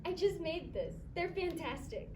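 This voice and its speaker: A woman's voice: very high-pitched, animated, unhurried. A female drama student playing a festive, loving wife in a staged reading. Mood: cheerful. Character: cheerful, festive, loving housewife baking for Christmas. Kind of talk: staged reader's theatre performance. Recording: distant echoey noisy live stage recording.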